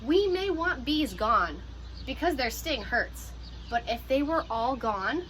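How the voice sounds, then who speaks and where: A woman who teaches pre-K, reading a picture book aloud to young children in an animated, encouraging way with teacher asides. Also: high voice, slow pace, slight background noise.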